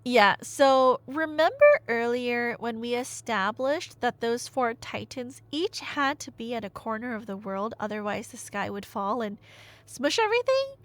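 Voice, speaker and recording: Animated, high-voiced woman telling stories casually. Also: a little slow, no echo. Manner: animated, conversational, wry asides and rhetorical questions. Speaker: audio roleplay storyteller, playing a nerdy girlfriend. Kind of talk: casual, rambling storytelling in an ASMR roleplay.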